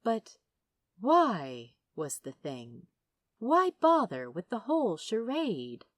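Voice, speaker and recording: A woman reading fiction aloud as a narrator, dramatic and wry, with deliberate phrasing. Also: fairly high pitch, huge pitch swings, no room sound. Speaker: audiobook-style narrator. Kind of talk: expressive scripted fiction narration.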